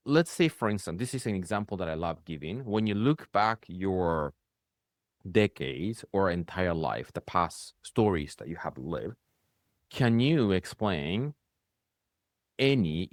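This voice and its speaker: A man with a low voice and a Japanese accent teaches in an easy, reflective way. His voice often lifts in short bursts and then settles. The sound is dry and close, with little room around it. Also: dry recording. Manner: speaks in rising bursts, often ends with "right?". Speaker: spiritual coach hosting a conversation.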